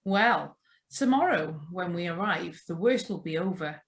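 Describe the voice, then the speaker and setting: A woman's voice: fairly low pitch, animated, a little slow. A woman playing a chatty, nervous young newlywed in a radio drama read over a video call. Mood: nervous, confiding. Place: remote, on a Zoom video call. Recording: live video call reading.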